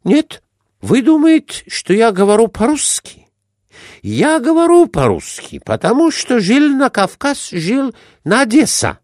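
A man's voice: very high voice, sing-song, unhurried, darkish tone, no room sound, clean studio sound, voice pushed up, full character voice. A man voicing an evasive, indignant Turkish would-be diplomat with a foreign accent, speaking broken Russian emphatically in a storybook reading. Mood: defensive, indignant.

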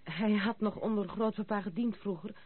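A woman's voice: mid-range voice, moderate pace, husky, natural voice. Actress as a proud young noblewoman, tinny old recording. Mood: bitter, confiding. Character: proud, outspoken young noblewoman, bitter yet lively. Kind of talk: Dutch radio play acting. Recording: muffled, noisy, narrow-band old radio recording.

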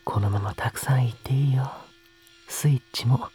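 A man playing a possessive, teasing older brother in an audio drama, coaxing softly in a husky, breathy, often whispered voice. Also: medium pitch, normal speed, slight background noise, close to an everyday voice.

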